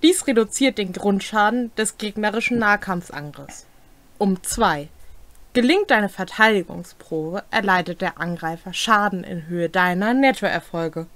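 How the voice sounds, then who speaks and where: A young woman reads game rules aloud in a casual setting. She takes it slowly, item by item, in a medium-pitched voice. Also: a bit bright. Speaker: co-host presenting game rules. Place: small home room, indoors.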